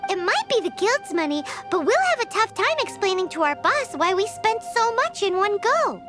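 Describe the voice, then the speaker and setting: A woman's voice: very high-pitched, sing-song, moderate pace, music in the background. A woman voicing a polite, mischievous, bookish rich boy in a video game, speaking confidently and articulately. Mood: mock indignant. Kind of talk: scripted video game dialogue.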